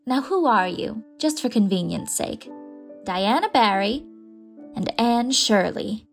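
A woman's voice: a little high, animated, takes it slowly, vocal fry, faint music behind, full character voice. A woman reading as a whimsical, independent lady of forty-five who loves to pretend, in a storybook. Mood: warm. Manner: fond, playful, pretends freely. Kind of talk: audiobook character reading.

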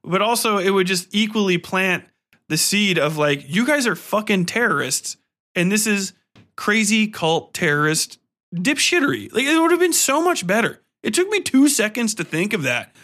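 Male podcast host, fairly high voice with a sharp, forceful edge; casual, blunt, swearing, exasperated review delivery. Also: sing-song, moderate pace. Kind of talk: casual ranting film review.

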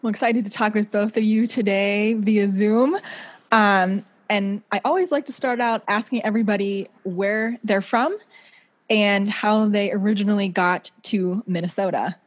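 A woman's voice: medium pitch, steady tone, normal speed. A woman hosting a podcast interview in a conversational style over a video call. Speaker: podcast host.

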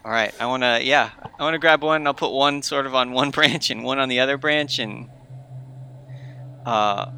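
A man's voice: medium pitch, moderate pace, vocal fry, bright, forceful tone. A man chatting casually and improvising as a player in a tabletop game, with faint noise behind him. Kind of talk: casual in-character improvised chat.

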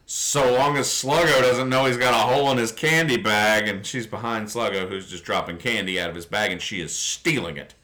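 A man's voice: on the low side, fairly quick, few pauses, bright, forceful tone. A man hosting a podcast, chatting casually and explaining things with mock-serious care. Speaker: podcast host. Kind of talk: casual chat, describing comic strips.

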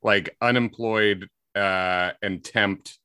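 A man with a slight Chicago accent talks in a bright, forward voice that sits a little low in pitch. He rambles in a casual interview, piling up "likes" and restarts. The sound is dry and close. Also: steady tone, extremely slow, smooth, no room sound. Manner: rambling, self-correcting, frequent likes and ums. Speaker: comedian guest.

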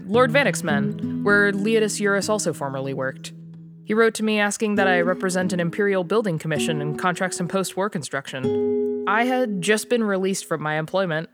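A man voicing a grim, dry-witted male agent who was stranded in a war zone. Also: high-pitched. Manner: dry, wry. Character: grim, wry male Imperial rival agent.

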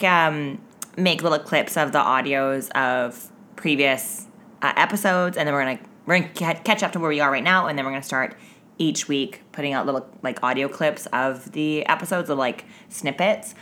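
A woman with a clearly low-pitched voice co-hosts a podcast. She talks in a loose, conversational style, full of playful teasing and banter. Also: normal speed, fairly bright tone. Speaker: podcast co-host. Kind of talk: casual chat.

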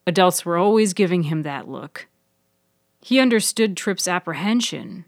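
A low-voiced woman narrates scripted fiction for a podcast. Her voice is recorded close and dry, with no room around it. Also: animated, moderate pace, no room sound.

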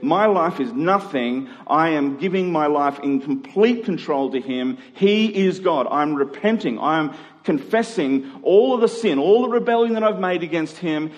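A man's fairly high voice delivers a sermon in a hall with long reverb. He talks conversationally to the congregation, leaning on key phrases with deliberate emphasis. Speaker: preacher. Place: large reverberant room, live church service.

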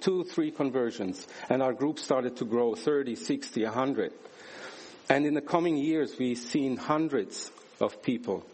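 A man's voice: mid-range voice, a little mellow, breathy edge, low-quality recording. A man from Switzerland giving a church sermon, earnest and emphatic, with rhetorical questions and a personal testimony. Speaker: guest preacher.

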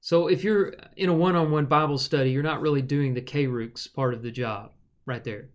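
A man with a thin, reedy, mid-pitched voice leads a relaxed podcast Bible study. He explains things thoughtfully, pausing often with fillers as he searches for words. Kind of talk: casual teaching conversation.

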